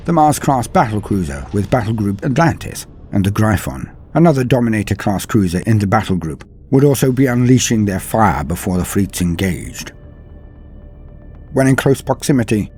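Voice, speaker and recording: A man reading dramatic scripted war fiction as a storyteller, in a grave, measured delivery with deliberate pauses and falling phrase endings. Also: on the low side, animated, a little mellow, husky. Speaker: narrator of a fan-written war story. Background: music under the voice.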